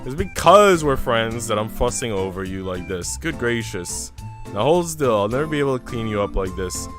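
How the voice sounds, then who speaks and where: A man voicing a kindly, wry young man in a visual novel, reading in measured, gently chiding prose. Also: medium pitch, lively intonation, natural voice. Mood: kindly fussing. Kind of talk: visual novel narration and dialogue read aloud. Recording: background music.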